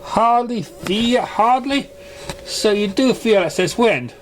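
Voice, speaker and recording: A man's voice: sits high, lively intonation, takes it slowly, noisy background, pitched above the performer's own voice. A man voicing a caring but reproachful female pony, worried over a youngster, in a read-aloud story. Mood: alarmed scolding.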